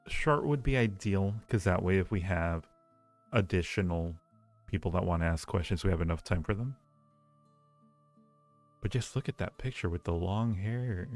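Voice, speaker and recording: Low-voiced man, playful livestream host. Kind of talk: casual livestream chat with viewers. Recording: roomy echo, faint music behind.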